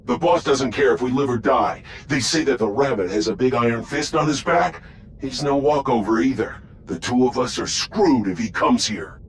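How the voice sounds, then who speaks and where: A man's voice: medium pitch, animated, normal speed, slight background noise, close to an everyday voice. A man playing a gossipy, nervous henchman guard in a video game. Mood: uneasy. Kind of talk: video game cutscene acting. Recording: game music behind the voice.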